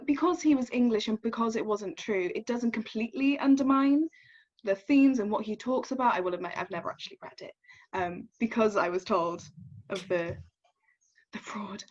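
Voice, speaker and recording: A woman's voice: a little high, a little mellow, dull audio. A young woman, chatting in a thoughtful, casual way about literature. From South Wales. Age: young adult.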